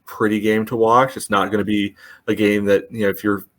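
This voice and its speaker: A man's voice: on the low side, flat delivery, fairly quick. A man co-hosting a soccer podcast, talking in a laid-back, plain-spoken way. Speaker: podcast co-host. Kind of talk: casual podcast chat.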